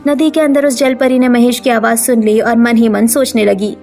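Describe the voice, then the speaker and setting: A woman's voice: on the high side, steady tone, moderate pace, darkish tone, soft background music. A woman narrating a scripted story as a storyteller.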